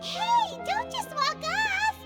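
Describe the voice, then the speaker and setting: Tiny squeaky excitable fairy companion. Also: female voice, slow, heavily acted. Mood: indignant. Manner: exclamatory, eager, squeaky. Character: small cheerful excitable childlike fairy companion. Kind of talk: video game voice acting. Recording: music playing behind the voice.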